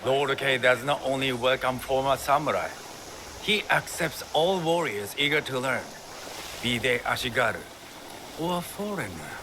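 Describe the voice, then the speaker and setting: A man's voice: medium pitch, animated, a little slow, background noise. A man playing a grandiose, zealous samurai recruiter in a video game cutscene, talking in a florid, ingratiating, theatrical way. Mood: zealous, assured.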